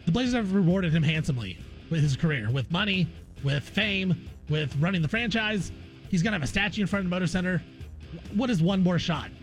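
A man's voice: high voice, expressive, a little fast, faint music behind. A man hosting a sports talk show, chatting casually and repeating phrases for emphasis. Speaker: sports talk radio host.

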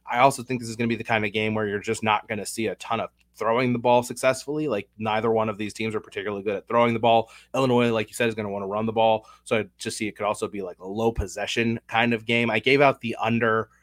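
A man with a head cold co-hosting a casual sports betting podcast, talking through picks in a measured, analytical way. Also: lowish voice, steady tone, a bit bright, dry recording. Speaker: podcast co-host.

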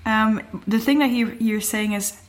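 A woman's voice: on the high side, steady tone, measured pace. A young adult woman with a Dutch accent, chatting casually and laughing often, with many fillers. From Netherlands. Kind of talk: casual chat.

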